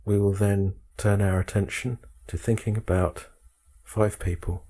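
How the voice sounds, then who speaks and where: A man leads a guided meditation in a deep, dark voice. The tone is husky and relaxed. He delivers calm instructions in short, measured phrases, leaving space between them.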